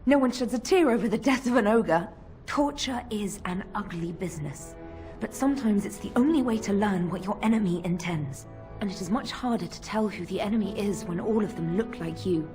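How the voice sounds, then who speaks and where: A stern female knight played by an actress in a fantasy game. She speaks formally and defiantly in a medium-pitched voice, loyal to her lord, while loud music swells behind her. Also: a little fast, natural voice. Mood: heated defense. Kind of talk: dramatic fantasy game dialogue.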